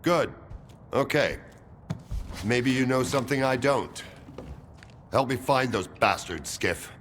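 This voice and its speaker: An actor playing an angry, suspicious military colonel in a video game, with a gruff, confrontational, sardonic manner. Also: male voice, medium pitch, lively intonation, takes it slowly, a bit bright, a little hiss or hum. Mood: probing. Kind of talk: video game cutscene acting.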